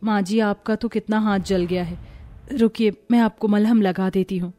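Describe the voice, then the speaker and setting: A woman voicing a dutiful, caring young daughter-in-law in a cartoon moral story, respectful and gentle in manner. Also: mid-range voice, fairly even intonation, moderate pace, natural voice. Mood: caring, concerned.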